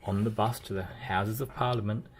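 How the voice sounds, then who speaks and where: Low-voiced man reading a children's storybook. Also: normal speed, breathy edge. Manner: expressive storytelling with playful emphasis and sound effects. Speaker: children's book reader. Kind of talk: read-aloud storybook narration.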